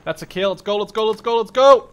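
A man in his twenties giving casual, sweary commentary over first-person shooter gameplay in an excitable manner. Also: sits high, fast, a little hiss or hum. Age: 20s. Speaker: gaming video creator. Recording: loud gunfire and explosions behind. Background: war game sound effects, gunfire, machine guns.

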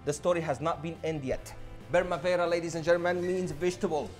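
A man's voice: on the high side, steady tone, quick pace, a little mellow, faint music behind. A man in his thirties presenting a cooking demonstration as a chef, with an enthusiastic, showy manner. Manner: enthusiastic, showy, addresses audience as a showman. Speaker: chef hosting a cooking show. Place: indoor modern studio kitchen. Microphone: lapel mic.

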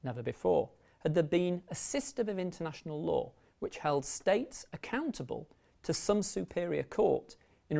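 Guy in his 30s doing a uni law lecture, measured and formal, lots of vocal fry. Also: a little high, a little mellow. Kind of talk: academic lecture, partly read from notes. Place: indoor lecture recording room, at a lectern. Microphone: lapel mic.

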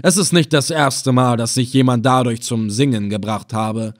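A man's voice: mid-range voice, fairly even intonation, normal speed, no room sound, clean studio sound, natural voice. A man voicing a cruel, brawny henchman in an audiobook adventure, eager, boastful and emphatic. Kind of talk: dramatized audiobook adventure reading.

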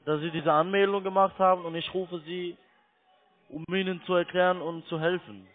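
A man's voice: high voice, fairly even intonation, moderate pace. A man who is a non-native German speaker, making an insistent, blunt sales call as a call-centre agent. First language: not German. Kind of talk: unscripted sales phone call. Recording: tinny narrowband phone line.

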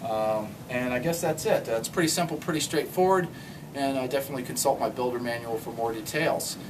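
A middle-aged man giving an unscripted do-it-yourself tutorial in a driveway, explaining in a relaxed way with many ums and uhs. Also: mid-range voice, fairly quick. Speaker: DIY instructor. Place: outdoor driveway in front of a garage. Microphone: camera mic from a few meters away. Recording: distant camera mic, audible background noise.

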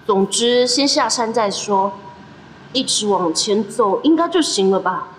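A woman's voice: mid-range voice, lively intonation, measured pace, slight background noise, close to an everyday voice, rough recording. A woman voicing an earnest, determined young boy who worries for his older brother, in amateur audio drama style. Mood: frustrated musing.

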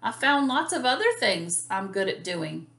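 A woman voicing a playful, easily startled storybook octopus with an expressive, exclaiming delivery. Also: mid-range voice, moderate pace, roomy sound. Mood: happy, proud.

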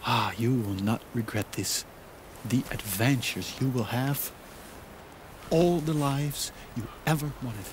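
An actor playing an eager, persuasive male salesman who coaxes and flatters in a theatrical way in an animated film. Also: medium pitch, takes it slowly, noisy background, natural voice.

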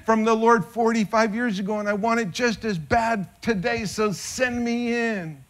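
A man in his fifties preaching a sermon from a church stage, animated and gesturing, his voice rising when excited. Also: very high voice, normal speed. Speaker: pastor. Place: church stage with band instruments.

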